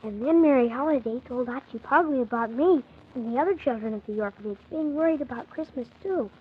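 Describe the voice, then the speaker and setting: Earnest, high-voiced little orphan boy. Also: a little fast, breathy edge. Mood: wide-eyed narrating. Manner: earnest, wide-eyed storytelling. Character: earnest, polite young orphan boy telling his story. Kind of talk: 1940s children's radio drama narration. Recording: thin, phone-like 1940s radio recording.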